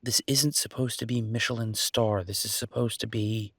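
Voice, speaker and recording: A man playing an earnest, down-to-earth chef boyfriend in an audio roleplay, talking casually and a little hesitantly in a husky voice. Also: fairly low pitch, fairly even intonation, normal speed, a bit bright, no echo, studio quality. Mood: hopeful, musing. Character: enthusiastic down-to-earth chef boyfriend opening a mountain restaurant. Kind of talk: audio roleplay acting, casual one-sided conversation.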